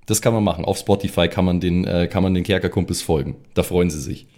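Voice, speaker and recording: A man's voice: low-pitched, fast, darkish tone. A man who plays on the show, chatting casually in improvised comic banter and often stammering and repeating phrases. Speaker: player on the show.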